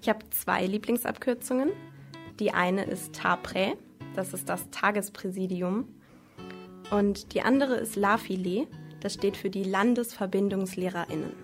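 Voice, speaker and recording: A woman's voice: medium pitch, measured pace, creaky, faint music behind. A young woman speaking as a student representative in a radio interview. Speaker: studio guest, student representative.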